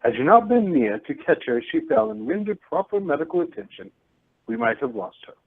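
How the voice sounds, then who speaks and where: A man's voice: on the high side, very animated, fairly quick, husky, heavily acted. A man playing a courteous, wry storyteller and letter reader in a radio play. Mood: courteous, grateful. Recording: narrow phone-like sound, faint music.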